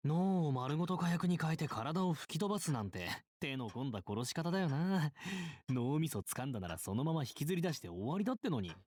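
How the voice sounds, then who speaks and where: A man's voice: on the high side, sing-song, racing, few pauses, darkish tone. An actor playing a cheerful, cocky young male servant spirit with a violent streak in a Japanese visual novel, chattering flippantly. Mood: cheerful prattling.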